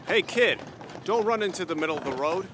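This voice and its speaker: High-pitched brusque coachman. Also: lively intonation, a little fast, noisy background. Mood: alarmed scolding. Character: brusque, alarmed male coach driver. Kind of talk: audio drama fairy tale acting.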